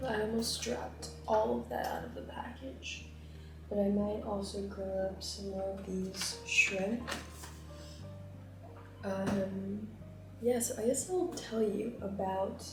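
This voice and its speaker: A young woman vlogging casually while she cooks, chatty and rambling, with plenty of fillers. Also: medium pitch. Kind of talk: casual chat while cooking. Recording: roomy kitchen, mic at a distance.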